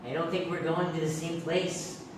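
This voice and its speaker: An actor playing a timid, reluctant doctor who speaks in halting pleas in a live radio comedy. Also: male voice, on the high side, level tone, close to an everyday voice. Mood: nervous, reluctant. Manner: halting, pleading. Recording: echoey stage, distant mic, faint noise.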